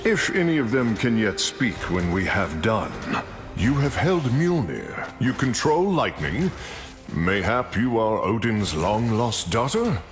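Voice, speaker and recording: An actor voicing a boisterous, proud Norse thunder god with grand archaic phrasing for a video game. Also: male voice, lowish voice, very animated, measured pace. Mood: teasing, proud. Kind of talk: video game hero voice lines. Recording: long reverb, music behind.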